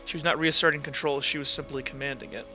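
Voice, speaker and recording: A man's voice: fairly high pitch, fairly even intonation, quick pace, sharp tone, highs cut off, faint music behind. A man working as game master, casually improvising narration for a tabletop roleplaying game.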